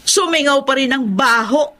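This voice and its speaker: An actress playing a resentful, sharp-tongued adult child in a radio drama, scolding and scornful. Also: female voice, high voice, moderate pace.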